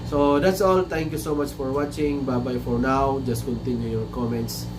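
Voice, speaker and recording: A man's voice: mid-range voice, fairly even intonation, normal speed, recorded from a distance, over music. A man in his thirties with a Filipino English accent, a chef hosting a casual livestream, chatty and repeating himself, slipping into Filipino now and then. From Philippines. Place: small room indoors. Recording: noisy room with air conditioner hum. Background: air conditioning hum.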